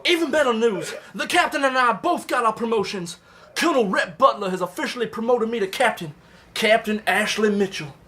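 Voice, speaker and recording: A man's voice: very high voice, lively intonation, a little fast, sharp tone, a little hiss or hum, heavily acted. A man playing a lewd, boastful Confederate soldier in a comic reading of letters home, with a folksy, florid manner of speech. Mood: giddy boastful pride. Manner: folksy, old-timey, florid. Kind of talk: comedic live reading of love letters.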